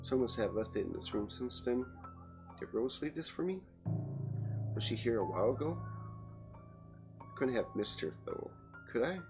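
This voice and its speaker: Man reading a horror game over loud music. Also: medium pitch, big pitch swings, moderate pace, long pauses. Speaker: let's play host. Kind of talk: reading game narration aloud, casual commentary.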